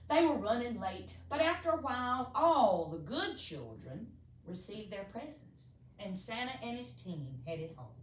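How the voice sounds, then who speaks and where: A woman reading a children's storybook aloud expressively, with theatrical, deliberate emphasis for young listeners. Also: a little high, sing-song. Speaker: storybook reader. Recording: echoey room, distant mic, faint noise.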